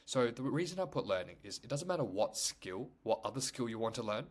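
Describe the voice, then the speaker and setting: A man's voice: mid-range voice, very animated, fairly quick. A young man whose first language is Chinese, talking casually to camera as a YouTuber, rambling with lots of "like" and "you know". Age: young adult.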